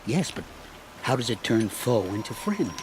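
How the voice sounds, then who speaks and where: A man's voice: mid-range voice, very animated, moderate pace, darkish tone, a little hiss or hum, lightly acted, low-quality recording. An actor playing a sly, ingratiating middle-aged trader in a video game, with theatrical salesman's patter. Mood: smooth, scheming. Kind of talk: video game dialogue acting.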